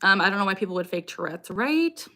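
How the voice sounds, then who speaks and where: Young female streamer, bright crisp-edged voice at middle pitch, informal and frank Q&A chat punctuated by vocal tics. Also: lively intonation, quick pace. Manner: sudden repeated vocal tics interjected into talk. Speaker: livestream host, content creator. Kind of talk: casual livestream Q&A chat, answering viewer comments.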